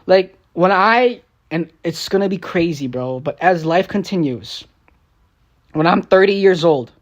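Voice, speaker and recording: A young man, a streamer and rapper, ranting on a live stream in a rambling, profane, frustrated way. He drops into low mutters and then bursts out loud. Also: animated, unhurried, dull audio. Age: young adult. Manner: swings between low mutters and raised outbursts.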